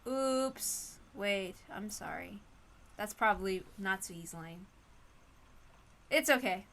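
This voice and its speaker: A woman's voice: mid-range voice, slow, a bit bright. A woman reading a story aloud as an amateur narrator, informal and given to apologetic, self-interrupting asides.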